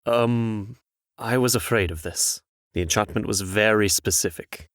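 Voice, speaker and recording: A man's voice: fairly low pitch, measured pace, dry recording, clean studio sound, close to an everyday voice. A man playing a jaded, worldly womanizing fairy-tale prince with a frank manner, in a comic dub. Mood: rueful, resigned.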